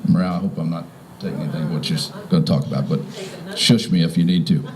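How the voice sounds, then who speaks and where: A man in his fifties or older from Virginia giving an informal, halting welcome speech as a property owner. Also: low voice, steady tone. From Virginia, United States. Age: 50s or older. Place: outdoors in front of a house.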